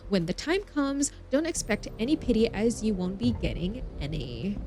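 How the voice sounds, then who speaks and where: A woman's voice: mid-range voice, big pitch swings, normal speed, mellow, slight background noise, close to an everyday voice. Woman voicing a haughty, indignant noble's servant. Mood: indignant, threatening. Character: haughty, scornful noble servant of a rich house.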